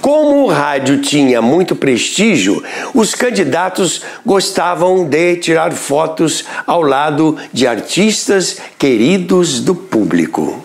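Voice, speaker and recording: Man, scripted documentary narration, expressive, rising and falling pitch. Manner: measured, storytelling delivery with lively pitch.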